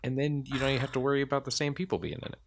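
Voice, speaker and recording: A man's voice: mid-range voice, rapid-fire, a little hiss or hum. A man hosting a podcast in casual chat, rambling and hesitant with lots of fillers and restarts. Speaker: podcast host.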